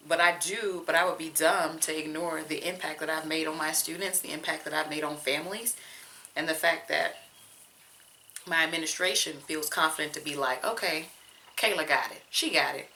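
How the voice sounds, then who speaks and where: Low-voiced Southern woman chatting to camera. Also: gravelly, bright, forceful tone, low-quality recording. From Georgia, US. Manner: candid, chatty, talks straight to viewers with casual slang. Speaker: teacher and vlogger. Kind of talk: casual chatty vlog while detangling hair.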